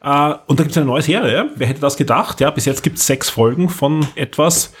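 A man's voice: on the high side, moderate pace, slightly rough. A man with an Austrian accent, hosting a podcast in a casual, chatty style. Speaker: podcast host. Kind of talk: casual moderated chat.

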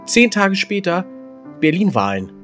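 A man's voice: sits high, big pitch swings, measured pace, fairly bright tone, faint music behind. A man co-hosting a casual podcast, joking around and breaking into sung snippets. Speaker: podcast co-host. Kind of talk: casual chat.

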